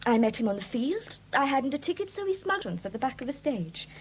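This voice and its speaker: A woman's voice: high voice, very animated, fast talker. An actress playing a flighty, defensive young woman in a 1970s radio drama, breezy and evasive under questioning. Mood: reluctant, chatty. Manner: breezy, evasive, indignant when challenged. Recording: hissy old 1970s radio broadcast recording.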